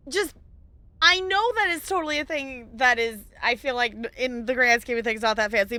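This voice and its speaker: A woman's voice: high voice, bright, forceful tone, no room sound. A playful, chatty woman giving casual live gameplay commentary as a streamer. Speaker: game streamer.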